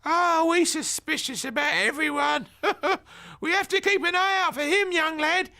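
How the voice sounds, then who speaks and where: A man voicing a cheeky, gossipy old grandmother in a storybook reading, with a high, loud, scolding voice. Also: unhurried, vocal fry, heavily acted. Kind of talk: audiobook character voice.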